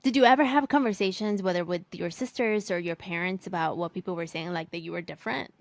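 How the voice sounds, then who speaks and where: Low-voiced woman hosting a chat. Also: quick pace. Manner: short prompts and echoes of the guest. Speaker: podcast host. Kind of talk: casual interview.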